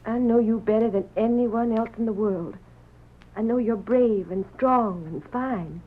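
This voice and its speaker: An actress playing a determined, proud rancher's wife with an American accent, earnest and pleading in old-time radio drama style. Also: female voice, fairly high pitch, a little slow. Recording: narrow tinny old radio recording.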